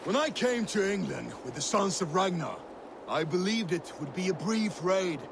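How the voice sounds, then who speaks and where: Wistful Viking warrior, high voice, poetic storyteller, video game, loud sea and boat noise. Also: male voice, big pitch swings, moderate pace, vocal fry, exaggerated voice. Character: wistful Viking warrior reminiscing about his voyages.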